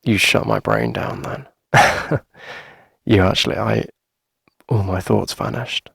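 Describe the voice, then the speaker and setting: A man playing a shy, smitten coffee shop owner in an intimate ASMR roleplay, speaking softly and hesitantly with a breathy delivery. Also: fairly low pitch, fairly even intonation, slow, dry recording, studio quality, heavily acted. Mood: flustered, fond. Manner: hesitant, soft-spoken, breathy, nervous little laughs.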